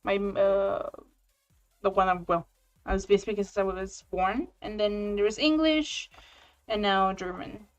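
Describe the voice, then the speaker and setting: A woman's voice: medium pitch, steady tone. A woman whose first language is Romanian, chatting casually and hesitantly as a guest on a live stream over a remote call. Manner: slow, hesitant, with frequent fillers. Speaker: guest artist. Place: at home, living in Germany. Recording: dull lo-fi sound with faint music.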